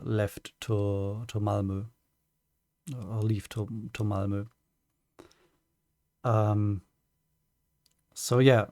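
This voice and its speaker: Slow, hesitant German-accented man. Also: on the low side, warm tone, no echo, clean studio sound. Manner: hesitant, many ums and restarts. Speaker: streamer. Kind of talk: prepared personal statement to camera.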